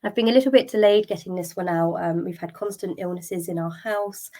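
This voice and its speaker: A middle-aged woman, hosting a solo podcast, a little croaky from a cold, talking openly and a bit wearily, with ums and restarts. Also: on the low side, fairly quick, warm tone. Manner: open and candid, thinking aloud, with ums and restarts; tired and drained. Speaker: podcast host. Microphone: podcast microphone.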